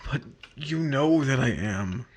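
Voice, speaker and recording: An actor playing a brooding, misunderstood husband, wounded and jealous, with hesitant delivery in a 1950s-style radio romance. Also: male voice, medium pitch, animated, slow, slight background noise. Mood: jealous, sullen.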